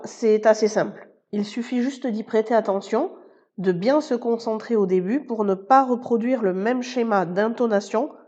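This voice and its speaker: A woman hosting a language-learning podcast, explaining pronunciation and vocabulary clearly and speaking straight to the listener. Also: mid-range voice, a little mellow, highs cut off. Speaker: Spanish teacher and podcast host. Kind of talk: scripted podcast lesson.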